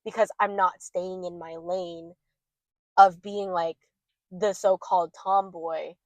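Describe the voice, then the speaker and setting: Brief: young female podcast co-host, lowish voice, dry close mic, loose candid rambling. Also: steady tone, slow pace, dry recording. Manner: rambling, heavy use of "like", candid. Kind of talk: casual chat.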